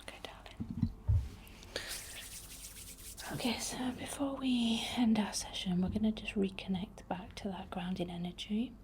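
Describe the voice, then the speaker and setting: Softly whispering woman, mellow ASMR voice. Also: mid-range voice, a little hiss or hum. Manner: soft, breathy whispering, soothing and unhurried. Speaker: ASMR host leading a healing session. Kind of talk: whispered ASMR guided meditation.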